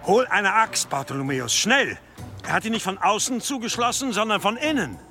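An actor playing a commanding, grave abbot in a German radio drama, authoritative and urgent. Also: male voice, high-pitched, expressive, a little fast, pushed and bright, faint music behind, exaggerated voice.

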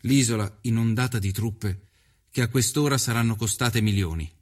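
An actor playing an earnest Italian journalist of the 1890s, narrating his inquiry in a measured, literary first person. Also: mid-range voice, close to an everyday voice. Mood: reflective, somber.

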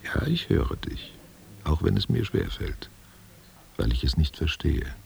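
A man's voice: extremely low pitch, very animated, unhurried, creaky, whispered, exaggerated voice. An actor playing an old man, a reminiscing stage player who talks tenderly and theatrically in a literary radio drama. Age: older. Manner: musing, theatrical, answering unheard questions. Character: reminiscing old German actor, tender and musing over wine.

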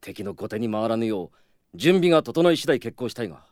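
An actor playing a stern knight commander who speaks formally and with authority in a radio drama. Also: male voice, medium pitch, big pitch swings, fairly quick, a little mellow, no room sound, natural voice. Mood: commanding. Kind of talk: Japanese radio drama acting. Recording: dry studio with background music.

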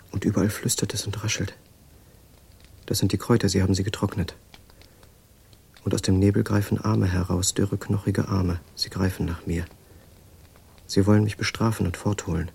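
Haunted storyteller for an audio drama, lowish husky voice, grave n dramatic, bit mad. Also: male voice, darkish tone, exaggerated voice. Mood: feverish dread. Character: grave male storyteller sliding into fearful, haunted madness.

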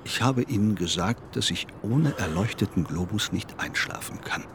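An actor in a radio play as a morbid, clinging, sleepless man, brooding and insistent, often hushed. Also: on the low side, animated, normal speed, slight background noise. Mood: fearful, pleading. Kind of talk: intimate radio play monologue. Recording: studio radio drama, faint music.

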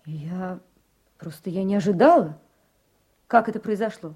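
An actress playing a judge's frank, concerned adult daughter in a radio play, questioning and direct. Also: female voice, medium pitch, a little slow, mellow, breathy edge. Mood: surprised.

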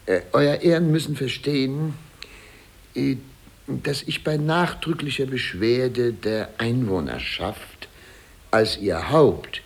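A man playing a flustered, over-polite small-town mayor in a German radio play, stammering and ceremonious. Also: medium pitch. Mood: flustered, deferential. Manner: stammering, ceremonious, halting hedging.

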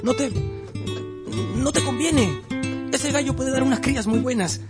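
An earnest young son in a radio play. The boy's voice is high, eager and pleading, set over loud music. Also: a little slow. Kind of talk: radio drama acting.

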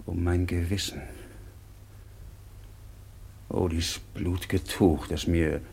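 An actor playing a remorseful chained prisoner in a radio drama, brooding and anguished. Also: male voice, low-pitched, level tone, drawn-out words, gravelly, a little mellow, husky, full character voice. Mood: weary remorse. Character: remorseful chained male prisoner longing for death.

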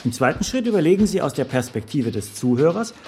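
An actor playing a slick, patronizing pitch coach who lectures didactically in a radio drama. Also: male voice, sing-song, fast talker, mellow, a little hiss or hum. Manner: didactic, rhetorical, peppered with business English.

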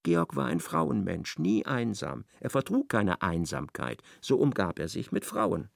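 Ein Mann als Erzähler eines literarischen Hörspiels. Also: male voice, fairly low pitch, wildly swooping pitch, unhurried, vocal fry, dry recording, clean studio sound, heavily acted. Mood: measured narration. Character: expressive male narrator of a literary radio play. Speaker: narrator. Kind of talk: literary radio play narration.

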